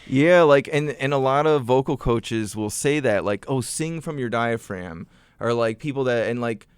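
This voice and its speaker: A man's voice: mid-range voice, moderate pace, clear, resonant tone, dry recording. A young adult man, a musician and vocal coach, chatting casually as a podcast guest with lots of fillers and restarts. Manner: relaxed storyteller, many fillers and restarts.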